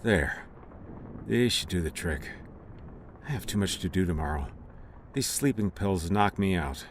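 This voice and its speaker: A tired working man, played by an actor, muttering to himself in a lowish voice that moves up and down a lot. Radio play acting with faint noise. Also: animated, measured pace. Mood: weary. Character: weary ordinary working man talking to himself. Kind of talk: audio drama acting.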